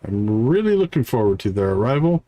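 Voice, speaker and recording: A man's voice: mid-range voice, sing-song, brisk, dark tone, a little hiss or hum. A man livestreaming a game, reading character dialogue aloud with stumbles and short asides. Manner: reads on-screen text aloud with stumbles and asides. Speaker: game streamer.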